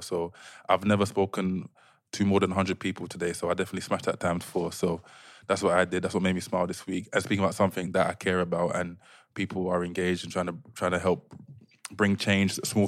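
A man's voice: low-pitched, dry recording, clean studio sound. A young man co-hosting a podcast, rambling casually with lots of fillers. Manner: rambling, lots of "like, you know". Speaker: podcast co-host. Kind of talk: casual chat.

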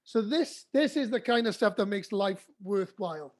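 A woman with a husky, breathy voice speaks in a relaxed conversational interview. Her pitch is ordinary and middling. She rambles warmly, circling back over her thoughts. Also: moderate pace. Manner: warm, rambling, talks to her baby between thoughts.

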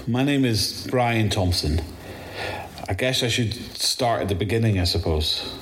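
A man with a Scottish accent playing a weary, rattled zombie-apocalypse survivor in an audio drama, speaking haltingly and swearing as he records his testimony. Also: lowish voice, noisy background, lightly acted. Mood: grave, urgent.

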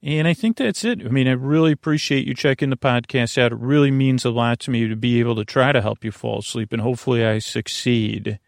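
A man hosting a bedtime podcast in a creaky, soothing voice, rambling haltingly and circling back on himself in meandering tangents. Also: medium pitch, dry recording, studio quality. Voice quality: creaky, gravelly. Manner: soothing, lulling. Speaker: podcast host.

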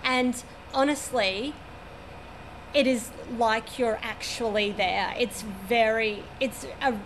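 A woman's voice: fairly high pitch, fairly even intonation, slow, fairly bright tone, rough recording. A woman in her forties with an Australian accent, an air traffic controller giving detailed, matter-of-fact interview answers at a regional airport. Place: at a regional airport, overlooking the runway.

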